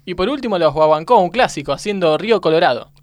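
A man's voice: high voice, animated, quick pace, a little hiss or hum. A man with an Argentine accent co-hosting a comedy radio show, bantering casually and announcing segments.

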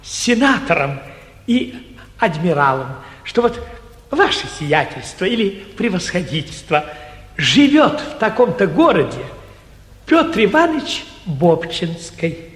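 Earnest, fawning provincial gentleman; high, animated, halting, old theatre recording with hall echo. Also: full character voice. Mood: humble, earnest. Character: eager, humble, naive provincial busybody gentleman. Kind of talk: theatrical 1940s stage comedy acting. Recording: echoey 1949 theatre radio production.

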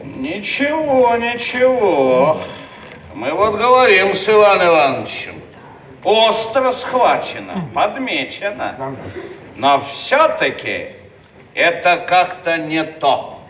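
An actor playing a pompous, self-satisfied Soviet bureaucrat who speaks officiously, in a theatrical radio comedy style. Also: male voice, sits high, big pitch swings, takes it slowly, a bit bright, heavily acted. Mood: condescending. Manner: pompous, hesitant, bureaucratic phrasing. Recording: echoey, distant archive radio recording, faint noise.

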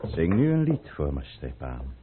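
An actor playing a proud, resolute conspirator count in a radio drama, with grave, commanding, theatrical delivery. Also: male voice, very deep voice, wildly swooping pitch, measured pace, slight background noise, heavily acted. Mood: commanding, brooding. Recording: muffled, narrow-band old radio play recording.

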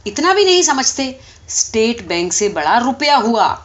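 An irritable, officious male sentry from a storybook, played by a woman. The voice is scolding and fairly high, jumping around in pitch, with slightly dull sound. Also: big pitch swings. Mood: irritated. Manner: challenging, scolding. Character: gruff, bossy, easily irritated male police sentry.

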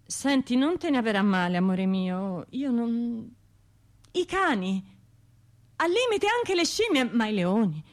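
An actress playing a wounded, bitter bombing survivor in a radio theatre monologue, confiding in colloquial speech. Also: female voice, on the high side, sing-song, unhurried. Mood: wry, bitter. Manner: colloquial, confiding, wry, shifting between narration and imagined voices.

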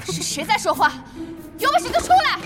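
高音傲慢女公会首领. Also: female voice, very high voice, sing-song, a little fast. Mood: alarmed, furious. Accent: Mainland Chinese Mandarin. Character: haughty, vengeful young female guild leader in online game. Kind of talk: audio drama acting. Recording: music behind the voice.

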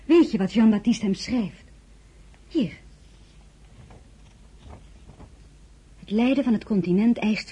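An actress playing an elegant, composed woman of high rank in a radio drama, narrating and speaking in a measured, intimate way. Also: dark tone, breathy edge. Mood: grave. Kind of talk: radio play acting with first-person narration.

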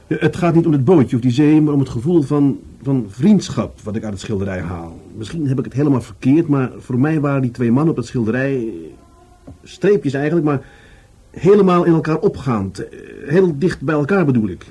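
A police adjutant in a radio drama, earnest and reflective. He explains himself politely but keeps pressing, in a mellow, husky voice. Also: medium pitch, lively intonation, a little fast. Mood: thoughtful. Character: thoughtful, earnest police adjutant reporting to his superior. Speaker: actor in a radio play. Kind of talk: Dutch radio play acting.

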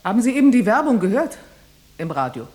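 Mellow, lively teasing, probing woman. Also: fairly high pitch, quick pace, breathy edge. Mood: probing, suspicious. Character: teasing, probing woman, a biologist and old flame. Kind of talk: German radio drama acting.

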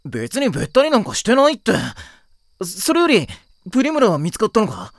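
A woman's voice: fairly high pitch, huge pitch swings, fast talker, no echo, studio quality. A woman playing a kind, easily flustered teenage boy in an anime audio drama, with casual boyish speech.

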